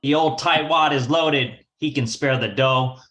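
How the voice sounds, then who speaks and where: Scheming small-time gambler, 1940s radio play, wisecracking, bright forceful delivery, male actor. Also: medium pitch, unhurried, slight background noise, natural voice. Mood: pleading, cocky. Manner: wisecracking, slangy. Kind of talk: 1940s radio detective acting.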